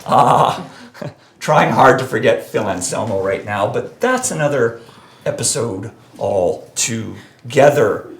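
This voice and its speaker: Gravelly-voiced male live-stream host. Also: mid-range voice, slow pace. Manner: energetic, joking, reads comments aloud. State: voice going a bit hoarse. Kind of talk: live-stream debate, reading viewer comments.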